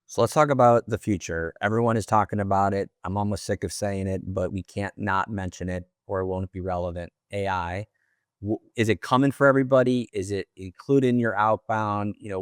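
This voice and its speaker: Chatty low-voiced man hosting. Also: fairly even intonation, a little fast, dry recording, clean studio sound. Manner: chatty, effusive, repeats himself. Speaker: podcast host. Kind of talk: conversational interview.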